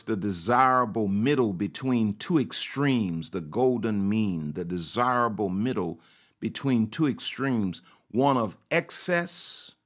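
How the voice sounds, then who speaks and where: A man preaching a sermon. He repeats his phrases for emphasis, asks rhetorical questions and at times speaks as if he were a confident woman. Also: fairly low pitch. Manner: speaks in the first person of a confident woman to make his point. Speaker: pastor.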